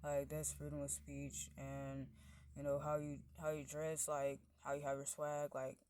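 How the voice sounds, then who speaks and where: A woman with a deep voice, rambling through a casual monologue, padding every phrase with "like" and "you know" while her pitch and loudness wander. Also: completely flat delivery, unhurried, a little hiss or hum.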